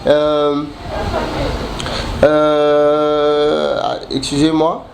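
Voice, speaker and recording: A man preaching a sermon while he has a cold, halting and restarting often, then building emphatic repetitions. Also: on the high side, completely flat delivery, vocal fry. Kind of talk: sermon mixing French and Lingala. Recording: echoey room with faint ambient noise.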